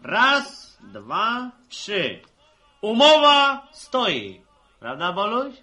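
Young rural joker, very bright forceful voice, high-pitched, theatrical, vintage radio drama. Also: male voice, very slow, exaggerated voice. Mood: showy, excited. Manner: clowning, showy, animated announcing. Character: boisterous young farmer, eager amateur village actor. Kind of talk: 1960s Polish radio serial acting.

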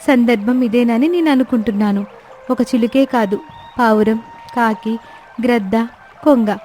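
A woman's voice: a little high, warm tone, slight background noise, natural voice. A woman voicing a clever, proud, earnest young sparrow in a children's cartoon story, speaking with self-assurance. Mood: proud, confident.

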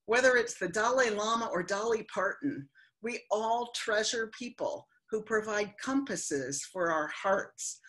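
Older lady preaching slow and thoughtful from home, webcam sound. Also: mid-range voice, expressive, fairly bright tone, poor audio. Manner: slow, reflective, heartfelt delivery. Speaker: minister. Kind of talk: sermon. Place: home living room. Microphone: webcam on video call.

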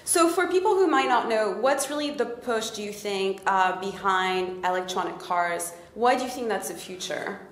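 A woman interviewing a guest at an event, asking brisk, upbeat questions. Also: medium pitch, lively intonation. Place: event press area in front of a logo backdrop, indoors. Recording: roomy event interview recording.

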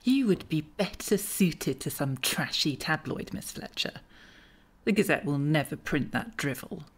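An actress playing a haughty, indignant museum director in an audio drama, with a cutting way of talking. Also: female voice, deep voice, lively intonation, a little slow, breathy edge, exaggerated voice. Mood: icy, contemptuous.

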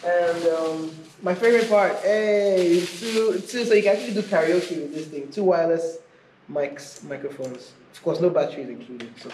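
A man's voice: on the high side, normal speed, darkish tone. A man casually hosting an unscripted unboxing video, chatting informally and thinking aloud as he reviews a product. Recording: echoey room with clear background noise.

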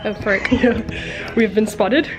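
A woman's voice: mid-range voice, fairly even intonation, slow pace, low-quality recording. A young woman chatting casually as a vlogger, recorded on a handheld camera while out exploring. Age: young adult. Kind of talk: casual vlog chat. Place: handheld camera on the move in an abandoned school, later outdoors and in a car. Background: music.

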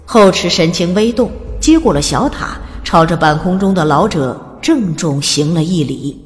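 A woman narrating a fantasy audiobook in an even, storytelling delivery. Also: fairly low pitch, moderate pace, a little hiss or hum. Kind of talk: scripted narration. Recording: long reverb.

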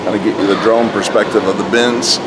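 A man's voice: fairly low pitch, a little fast. Chatty man filming at a noisy toy show. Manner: relaxed, chatty, lots of fillers and compliments. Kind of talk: casual walk-around interview. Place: indoor farm toy show hall. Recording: loud background noise in a show hall.

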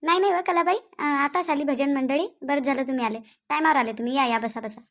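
A woman's voice: sits high, fast, a bit bright. A woman voicing a bustling older village mother-in-law who hosts guests, in a Vidarbha Marathi accent and a fussy, hurrying manner. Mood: welcoming. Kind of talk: cartoon voice acting.

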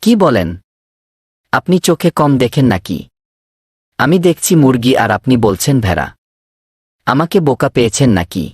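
Man playing a husky, pushy cartoon swindler. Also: mid-range voice, sing-song, normal speed, pauses often, no room sound, studio quality. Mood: mock indignant. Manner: insistent, wheedling. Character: pushy male swindler posing as eager chicken buyer. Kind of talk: cartoon dubbing.

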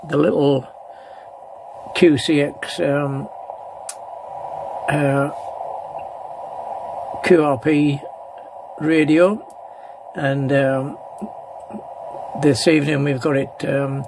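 A man giving an unscripted demonstration as an amateur radio operator, talking in a halting, hesitant way. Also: fairly high pitch, level tone. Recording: clearly noisy handheld recording.

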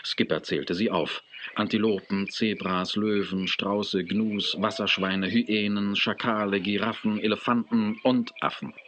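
An actor as the calm narrator of a German children's adventure audio drama, reading scripted narration. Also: male voice, lowish voice, a little slow. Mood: calm, descriptive. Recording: noisy 1980s cassette audio.

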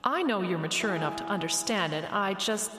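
A woman's voice: mid-range voice, expressive, fairly quick, over music, close to an everyday voice. A woman playing a fretful, passive-aggressive mother in a radio drama, hesitant and begrudging. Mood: reluctant disapproval. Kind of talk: radio horror drama acting.

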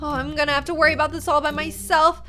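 A woman's voice: high-pitched, flat delivery, fast talker. A woman in her twenties hosting a gaming video, theatrical and girlish as she chats and roleplays. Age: 20s. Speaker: YouTube gaming host. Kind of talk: dramatic improvised game roleplay and chatty narration. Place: small indoor room.

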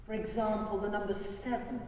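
An older man, a priest, talks gently and simply to children from the lectern. His tone is mellow and dark. The sound is roomy and distant, with a long church echo. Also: high voice, a little fast, a little hiss or hum. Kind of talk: liturgical prayer and children's address. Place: large church. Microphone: lectern mic, far from camera. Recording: echoey church from far off.

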